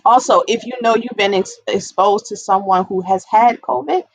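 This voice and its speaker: A woman's voice: medium pitch, normal speed, no room sound. Woman explaining in a dry close recording. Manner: explanatory, emphasizes and repeats key points. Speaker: public health educator giving a talk. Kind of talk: informal slide presentation, explanatory public health talk.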